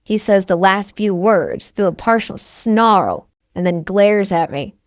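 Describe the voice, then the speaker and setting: A woman's voice: mid-range voice, expressive, takes it slowly, dry recording, clean studio sound. A woman reading first-person scripted novel narration as an audiobook narrator.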